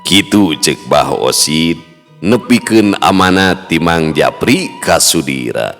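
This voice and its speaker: A male storyteller from West Java narrating a folk tale with lively, chuckling asides. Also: low voice, slow pace. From West Java, Indonesia. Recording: hall reverb with faint music.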